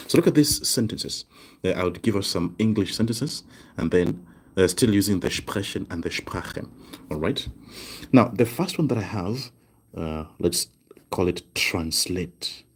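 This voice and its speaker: Male language tutor, low-pitched and husky, patient and repetitive. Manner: slow, repeats phrases word by word, asks "right?" often. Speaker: German tutor. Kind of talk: online language lesson, writing on cards. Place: desk indoors.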